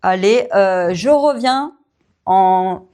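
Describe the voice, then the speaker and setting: A woman who teaches French and hosts her own channel, answering viewer questions in a relaxed Q&A, with many fillers and small laughs. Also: medium pitch. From Brazil. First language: Portuguese. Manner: slow, warm, relaxed, with frequent fillers and little laughs. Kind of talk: casual Q&A to camera.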